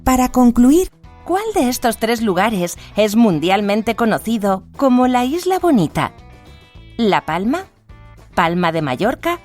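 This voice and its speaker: A woman's voice: a little high, sing-song, a little slow, darkish tone, soft background music. A woman voicing a female automated movie-booth machine that reads out quiz prompts in a formal announcing manner. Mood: formal prompting. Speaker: quiz host voice.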